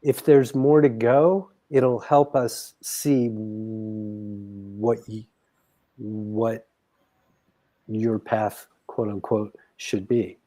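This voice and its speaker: A man guiding a counseling conversation as a grief counselor, in a measured, deliberate way. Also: lowish voice, fairly even intonation, warm tone.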